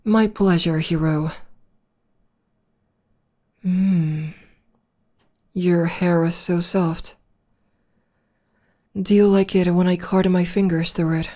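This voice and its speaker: Woman voicing a slow, mellow, teasing villainess. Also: fairly low pitch, fairly even intonation. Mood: soft, affectionate. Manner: soothing, drawn-out, teasing endearments. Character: female villain tenderly nursing her hero nemesis, teasing, possessive. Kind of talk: ASMR roleplay, soft intimate acting.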